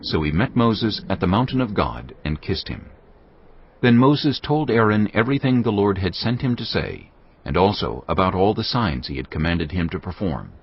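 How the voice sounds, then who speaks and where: A man narrating a dramatized Bible in a calm storytelling manner, with a husky voice. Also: deep voice, moderate pace. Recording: muffled lo-fi audio.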